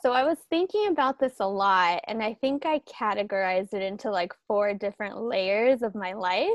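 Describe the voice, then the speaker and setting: A woman's voice: mid-range voice, normal speed, no echo, highs cut off. A young woman from Los Angeles, first language Tagalog, thoughtfully telling personal stories in casual conversation. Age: young adult. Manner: reflective, storytelling.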